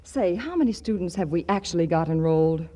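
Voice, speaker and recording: A woman's voice: fairly low pitch, lively intonation, fairly quick, warm tone. An actress playing a wisecracking girlfriend in a 1940s radio comedy, with a teasing, sardonic delivery. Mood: wry teasing. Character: wry, wisecracking girlfriend of a phony boss.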